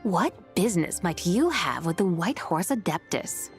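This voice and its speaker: Aloof, haughty immortal woman, video game, formal and deliberate, expressive in pitch. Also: faint music behind. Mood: haughty, amused. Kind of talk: English video game voice acting.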